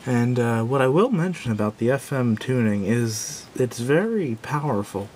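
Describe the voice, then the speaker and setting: A man giving a casual, unscripted product review in a halting, self-doubting way, recorded indoors at a tabletop on a camera microphone. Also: expressive, a little mellow. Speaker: gadget reviewer. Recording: clear background noise with low rumble.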